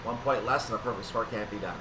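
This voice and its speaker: A man's voice: fairly low pitch, quick pace, recorded from a distance, background noise. A man giving casual gameplay commentary on a train simulator, thinking aloud and correcting himself as he goes. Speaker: gaming video host.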